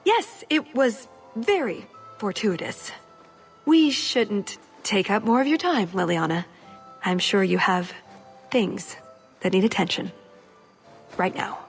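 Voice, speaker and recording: A woman voicing a quick-witted, scheming young elf in a video game cutscene. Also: medium pitch, lively intonation, gravelly, faint music behind. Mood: strained politeness.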